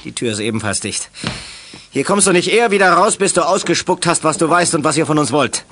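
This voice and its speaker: An actor playing a menacing, sarcastic young drug dealer in a youth radio play, talking in threatening street slang. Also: male voice, on the high side, expressive, fairly quick, fairly bright tone, a little hiss or hum.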